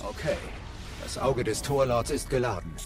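A man's voice: medium pitch, lively intonation, moderate pace, loud music behind the voice, natural voice. A man playing a small, loyal, determined machine companion in a German video game audio drama, terse and earnest in delivery.

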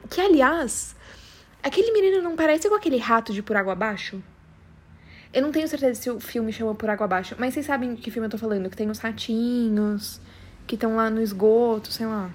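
A young woman with a strong São Paulo Brazilian accent, chatting casually and confessionally in a rambling, slangy, emphatic way. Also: on the high side. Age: young adult. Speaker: podcast host.

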